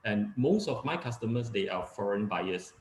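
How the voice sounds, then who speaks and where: Low-voiced man in echoey room. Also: expressive, a little hiss or hum. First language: Cantonese. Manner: halting, many fillers and restarts. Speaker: guest speaker, real estate agent. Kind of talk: casual webinar talk.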